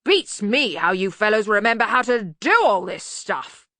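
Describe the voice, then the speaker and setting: A woman's voice: medium pitch, very animated, normal speed, pushed and bright, no echo, pitched above the performer's own voice. A woman voicing a bluff, bellowing older male wizard chief, blunt and obtuse, in an audiobook. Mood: blustering, hearty. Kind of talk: acted audiobook dialogue.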